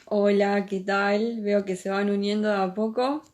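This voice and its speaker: A woman's voice: mid-range voice, flat delivery, measured pace, darkish tone. Young argentine woman hosting a livestream interview, rioplatense accent, friendly, laughs a lot. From Buenos Aires province, Argentina. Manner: friendly, laughs often, informal. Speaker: interviewer, host. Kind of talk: casual live-stream interview. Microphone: video call.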